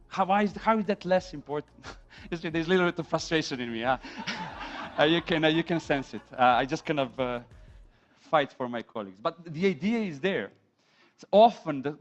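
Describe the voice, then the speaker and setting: A middle-aged man with a Serbian accent lecturing a class on film sound, animated, acting out quotes from set, and checking in with "yeah?". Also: a little high, muffled recording.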